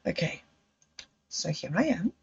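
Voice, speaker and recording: Slow, unhurried woman telling stories. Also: on the low side, sing-song, gravelly, husky. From Zimbabwe. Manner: unhurried, laughing, trailing off. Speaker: livestream host. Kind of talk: rambling personal storytelling. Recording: dull lo-fi sound.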